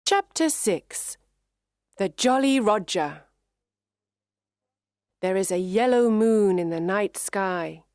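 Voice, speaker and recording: Female storybook narrator, slow and carefully enunciated, mid-pitched, dry studio-like sound. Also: lively intonation, a little mellow, no room sound. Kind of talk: scripted children's story narration for English learners.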